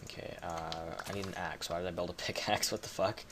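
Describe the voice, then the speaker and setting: Low-voiced Canadian guy rambling over gameplay. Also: steady tone, brisk, background noise. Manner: rambling, chatty, swears casually. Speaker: gaming video creator. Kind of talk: casual gameplay commentary.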